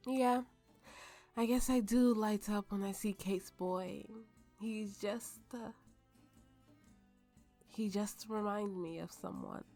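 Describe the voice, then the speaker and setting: A woman's voice: medium pitch, takes it slowly, long pauses. A woman voicing a drunken, playful and imperious warrior woman in an ASMR roleplay. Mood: wistful, tipsy. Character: tipsy, haughty, flirtatious warrior woman with a slave. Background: soft background music.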